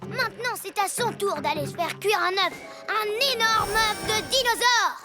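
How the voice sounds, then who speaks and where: An actor voicing an eager, impulsive little boy superhero in a dubbed children's cartoon, earnest and excitable. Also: very high voice, moderate pace. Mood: defensive. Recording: voice over loud cartoon music.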